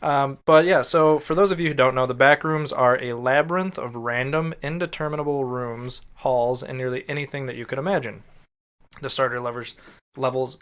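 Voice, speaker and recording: Guy with a clean clear voice, mid pitch, podcast host explaining stuff and chatting. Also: steady tone, moderate pace, fairly bright tone. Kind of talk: explainer read from notes mixed with casual banter.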